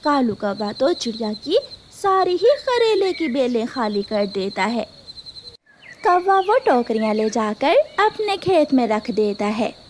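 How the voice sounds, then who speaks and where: A woman narrates a children's cartoon story. Her high voice moves widely in pitch as she tells it. There is faint noise behind her. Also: big pitch swings, measured pace.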